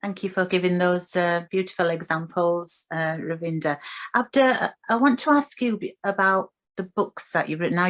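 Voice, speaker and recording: A woman hosting a radio discussion, putting questions to her guests in an interview style. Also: lowish voice. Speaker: radio host.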